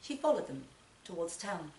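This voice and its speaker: Female actor for literary storytelling, expressive with deliberate pauses, reverberant room and faint noise. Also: lowish voice. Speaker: actor reading a short story. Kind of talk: dramatic literary reading to a live audience. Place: performance space in a library centre. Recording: roomy, distant mic, background noise.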